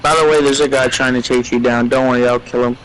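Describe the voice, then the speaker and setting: A man's voice: medium pitch, little pitch movement, quick pace, fairly bright tone, slight background noise, rough recording. Competitive bantering gamer man. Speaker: friend and co-player. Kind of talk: casual gameplay chat.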